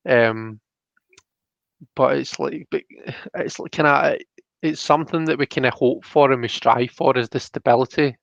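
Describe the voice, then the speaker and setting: A Scottish man with a gravelly, creaky voice hosts a casual tarot reading. He talks in a thoughtful, conversational way, often checking he is understood. The sound is close and dry but slightly dull and lo-fi. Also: mid-range voice, moderate pace, no room sound. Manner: thoughtful, often checks with "you know" and "do you know what I mean". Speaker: host and tarot card reader.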